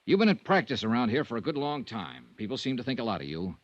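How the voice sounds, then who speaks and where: An American actor playing a tough, level-headed insurance investigator in vintage radio noir style, clipped and plain-spoken. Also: male voice, mid-range voice, very animated, fast talker, dry recording. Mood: firm, persuasive. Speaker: lead actor and narrator. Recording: dull, dry vintage radio recording.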